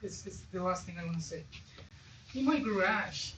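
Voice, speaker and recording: An audience member offers feedback haltingly, circling back and pressing the point. The voice comes from off the microphone in an echoey space. Clear noise sits behind it. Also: mid-range voice, animated, a bit bright. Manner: halting, repetitive, insistent. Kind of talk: spoken feedback at a business meeting. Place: event room with audience. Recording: distant mic, echoey room, clear noise.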